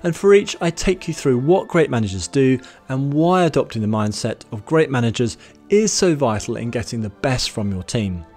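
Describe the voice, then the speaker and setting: Brief: British male presenter, 30s, management-training video, animated pitch, clear and methodical, light music bed. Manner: measured, instructive, lists points in order. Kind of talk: scripted presenter talk to camera. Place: studio with plain grey backdrop. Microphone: studio mic on boom arm in front.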